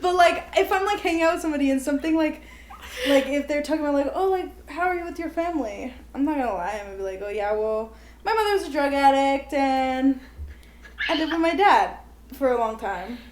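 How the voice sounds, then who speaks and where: A woman's voice: high voice, animated, brisk. A young woman co-hosting a podcast in casual chat with a friend, talking informally with lots of "like" and a giggly manner. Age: young adult. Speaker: podcast co-host. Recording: echoey untreated room.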